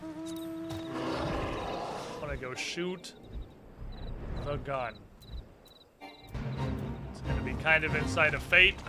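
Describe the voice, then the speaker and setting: A man's voice: a little high, slow pace, pauses often, over loud music. A man giving casual live commentary as he plays a game, muttering to himself and swearing freely. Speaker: game streamer.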